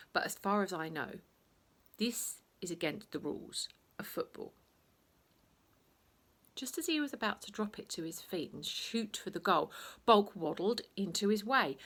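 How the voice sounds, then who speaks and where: A woman narrating a children's bedtime story in an expressive, theatrical way, playing up the sound words. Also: lowish voice, breathy edge.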